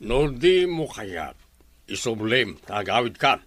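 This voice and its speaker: A man's voice: mid-range voice, very animated, slow, fairly bright tone, no echo, heavily acted. A man with an Ilocano accent playing a stingy, scornful village landowner in an audio drama. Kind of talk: Ilocano radio-style drama acting.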